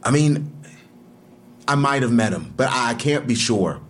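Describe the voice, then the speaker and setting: A man's voice: mid-range voice, expressive, measured pace, slight background noise, natural voice. An actor playing a guarded, irritable man under police questioning in an audio drama, curt, defensive and evasive.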